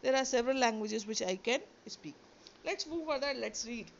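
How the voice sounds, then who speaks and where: A woman's voice: on the high side, expressive, normal speed, vocal fry, dull audio, slight background noise. A woman teacher with an Indian accent, a native Sindhi speaker, reading a textbook story aloud and then explaining it line by line. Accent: Indian English. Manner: reads aloud then re-explains each line, switching into Hindi. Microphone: phone or screen recorder mic.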